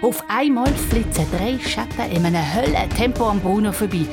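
A woman's voice: mid-range voice, lively intonation, normal speed. A woman with a Swiss accent narrating a scripted children's story. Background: music.

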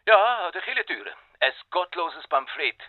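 An actor playing an easily offended village pastor in a radio play, huffy and formal with a Swiss German accent, through a telephone filter. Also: male voice, on the high side, big pitch swings, normal speed, no echo. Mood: indignant. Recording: tinny band-limited telephone line.

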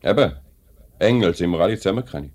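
A man acting a measured, businesslike factory director in a 1950s radio drama, speaking with a Swiss German accent. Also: low-pitched, expressive, darkish tone. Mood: polite, firm. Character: measured, fair-minded male factory director.

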